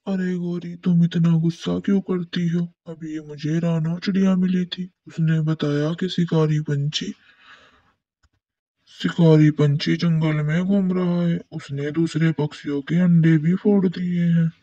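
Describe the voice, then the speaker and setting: A woman's voice: lowish voice, fairly even intonation, measured pace, mellow, voice pushed down. A woman voicing an anxious male crow who warns others in an urgent tone. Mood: placating. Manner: urgent, warning. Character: friendly male crow, hurried and worried. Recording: dull, muffled, from off-mic.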